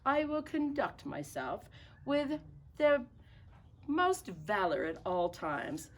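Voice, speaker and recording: A woman's voice: on the high side, very animated, takes it slowly, voice pushed up, exaggerated voice. A woman voicing a polite, well-spoken would-be pirate in a children's storybook, speaking in a prim, overly proper manner. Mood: earnest, pompous. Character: polite, well-spoken male rascals hoping to join the crew. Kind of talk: children's storybook read-aloud.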